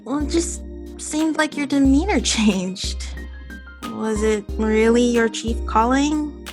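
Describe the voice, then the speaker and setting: High-voiced hopeful, uneasy girlfriend. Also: slow pace, darkish tone, soft background music. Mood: hesitant, anxious. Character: hopeful, uneasy girlfriend awaiting a marriage proposal. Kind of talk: audio drama acting.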